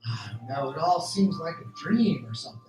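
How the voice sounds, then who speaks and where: A man's voice: on the high side, lively intonation, a little fast, darkish tone, natural voice. A man playing a bewildered, earnest young farmhand in an amateur radio drama. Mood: bewildered, dazed. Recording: echoey room, faint background noise.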